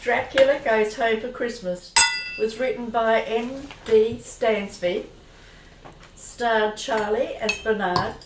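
A woman's voice: on the high side, slow. A woman reading scripted narration for a radio play. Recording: distant, roomy, noisy with clicks.

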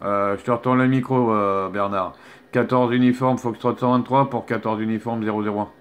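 A man talks casually as a radio operator at a middling pitch. He is hesitant, pausing to search for words and tagging his phrases as he goes. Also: steady tone, noisy background. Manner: hesitant, often ends phrases with "hein".

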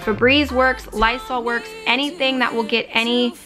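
A woman in her thirties walks viewers through her cleaning routine in a practical, instructive voice-over that sits a little high. She talks from home, and music plays clearly underneath her. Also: steady tone, moderate pace. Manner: instructive, practical, matter-of-fact. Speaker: cleaning vlogger. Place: home living room, on a couch. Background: background music.

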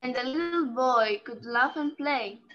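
A woman's voice: sits high, measured pace. A woman reading a script aloud over a video call. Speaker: participant reading a part. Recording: dull, very noisy video call.

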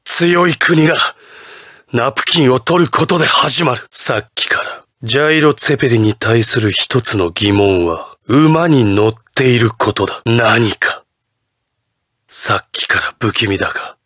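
An actor playing an imperious, ruthless patriotic president in a Japanese anime fighting game, with grandiose, theatrical declarations. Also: male voice, medium pitch, expressive, measured pace, fairly bright tone, no room sound, studio quality. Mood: cold, menacing.

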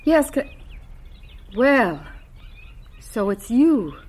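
A woman's voice: a little high, very animated, very slow, a little mellow, heavily acted. A woman playing a stern, unforgiving, protective woman in a radio drama, speaking coldly and firmly. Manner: cold, clipped, firm.

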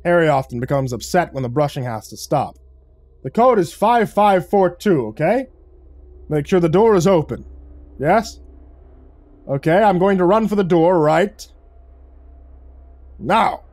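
A man voicing a calm, formal creature keeper who speaks in measured, unhurried sentences, in a storybook narration. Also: medium pitch, slightly rough, dry recording, pitched below the performer's own voice. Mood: shouting.

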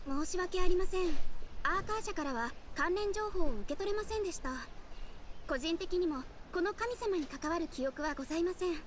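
A woman's voice: very high-pitched, animated, a little hiss or hum. A woman voicing a composed young guild receptionist in an anime game, speaking formally and politely. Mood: courteous. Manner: formal, courteous, measured. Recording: game music behind the voice.

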